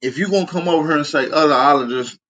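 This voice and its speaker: Higher-voiced, blunt American man reacting. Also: rapid-fire, fairly bright tone. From United States. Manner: slangy, blunt, confrontational, swears often. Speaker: reaction commentator. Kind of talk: casual opinionated reaction commentary.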